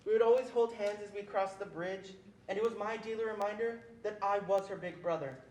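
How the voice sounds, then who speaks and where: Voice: medium pitch, fairly quick, a little mellow, lightly acted. A young performer playing a protective older brother who recalls his childhood in a readers theatre narration. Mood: solemn, remembering. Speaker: student performer. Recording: echoey room, faint background noise.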